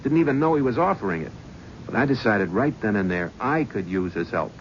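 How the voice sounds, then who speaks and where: An actor playing a cynical young agency gofer. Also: male voice, on the low side, expressive, brisk, natural voice. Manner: brusque, flippant, wisecracking. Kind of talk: 1970s radio drama acting with narration. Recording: muffled old radio broadcast, faint hiss.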